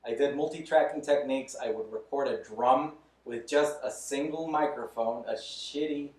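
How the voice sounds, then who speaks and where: A man's voice: mid-range voice, moderate pace, a little mellow. Easygoing man in his thirties, distant mic. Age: 30s. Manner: loose, candid, chuckling between thoughts. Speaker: podcast guest. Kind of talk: casual interview chat. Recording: roomy echo, mic at a distance.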